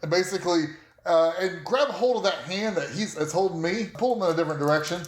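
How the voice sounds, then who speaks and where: A woman's voice: on the low side, fast. A woman playing a tabletop game, chatting casually about what her character will attempt, with a roomy sound.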